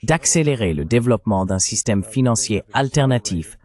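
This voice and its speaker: A man reading translated political commentary in a measured, explanatory way, as a voice-over. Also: fairly low pitch, animated, a little mellow. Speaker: panel commentator.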